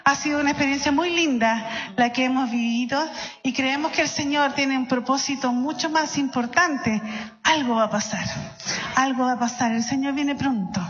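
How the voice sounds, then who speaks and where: High-voiced Chilean woman in a reverberant hall. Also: normal speed, highs cut off. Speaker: singer in a gospel vocal group. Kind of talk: informal greeting to a church congregation. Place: church hall, amplified to a congregation.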